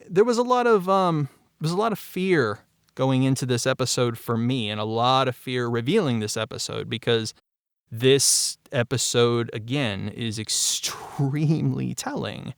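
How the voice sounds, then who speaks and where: Male, middle-aged, mid pitch, smooth tone. Candid creator talk, emphatic, circles back on his words. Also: no room sound, clean studio sound. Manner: emphatic, repeats and circles back over phrases. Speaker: writer and creator of an audio drama. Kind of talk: cast discussion, candid personal reflection.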